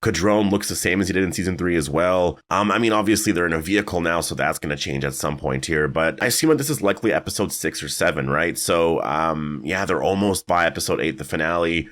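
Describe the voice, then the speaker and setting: A man commentating casually on a video channel, thinking aloud with many fillers, his voice raspy and a bit strained. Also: sits low, quick pace, few pauses, a bit bright. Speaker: YouTube commentator.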